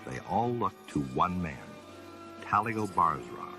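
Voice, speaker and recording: An actor as the solemn, portentous narrator of a sci-fi audio drama, reading dramatic scripted narration. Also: male voice, sits low, sing-song, slow, over music, full character voice. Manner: grand, portentous. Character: solemn epic narrator of a space saga.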